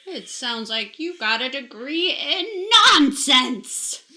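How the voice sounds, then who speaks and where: Actress as sardonic bedbound man, complaining, high and bright voice. Improvised radio comedy. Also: animated, takes it slowly, full character voice. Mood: aggrieved.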